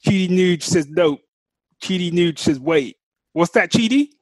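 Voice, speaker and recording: A man's voice: sits high, a little slow, dry recording. A British man co-hosting a podcast in casual, playful, slangy and teasing banter. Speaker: podcast co-host.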